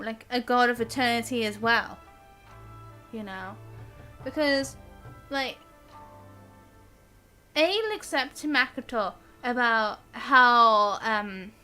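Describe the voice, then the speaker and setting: A woman's voice: on the high side, sharp tone. A woman giving casual live gameplay commentary as a streamer, musing aloud in a chatty, giggly manner.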